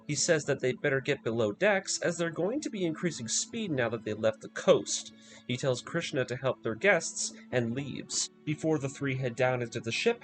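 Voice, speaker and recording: A man's voice: mid-range voice, poor audio. A man narrating a scripted video review, moving between commentary and story recap.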